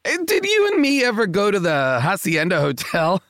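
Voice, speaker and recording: A man chatting about movies with a wry, rambling delivery. His voice is a bit high and swings up and down a lot, recorded dry and close. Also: wildly swooping pitch, moderate pace, a bit bright, no echo. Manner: rambling, full of fillers and restarts, wry. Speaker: podcast co-host. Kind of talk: casual movie discussion.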